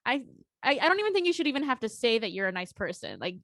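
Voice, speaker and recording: A woman's voice: fairly high pitch, sing-song, quick pace, a bit bright. A young woman co-hosting a podcast in casual chat, talking earnestly and reassuringly, with lots of "like" and "um". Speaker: podcast co-host.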